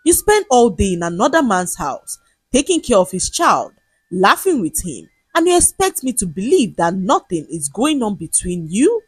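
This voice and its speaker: A woman's voice: mid-range voice, sing-song, moderate pace, slightly rough, no echo, low-quality recording. A woman voicing a cruel, jealous husband in a folktale, scornful, accusing and domineering. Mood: angry, accusing.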